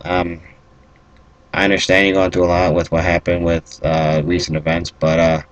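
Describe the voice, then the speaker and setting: A man improvising a fantasy roleplay speech as a commanding lord, speaking in a halting, deliberate, measured way. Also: low-pitched, level tone, rough recording. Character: stern kingdom lord commanding armored Templars. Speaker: role-player.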